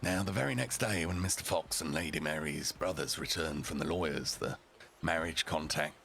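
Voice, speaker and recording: Gravelly, very deep male storyteller. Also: normal speed, fairly bright tone, a little hiss or hum. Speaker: storyteller, roleplay streamer in character. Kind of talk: dramatic story narration in a rough-and-ready character.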